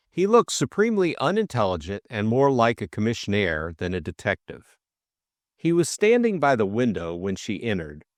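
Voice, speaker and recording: Male audiobook narrator, lively pitch, dry sound. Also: no room sound. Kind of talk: scripted narration of a classic mystery novel.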